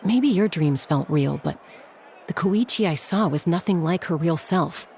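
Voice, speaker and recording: A blunt young guy in a game, kinda high creaky voice, wry and dismissive. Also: measured pace, a little mellow, a little hiss or hum. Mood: wry, guarded. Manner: wry, matter-of-fact. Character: proud, blunt young man, guarded and dismissive. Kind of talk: video game voice acting.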